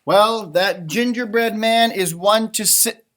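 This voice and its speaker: A man doing an expressive children's picture book read-aloud, with chatty asides and musing exclamations. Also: high-pitched, slow pace. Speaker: storytime reader and elementary teacher.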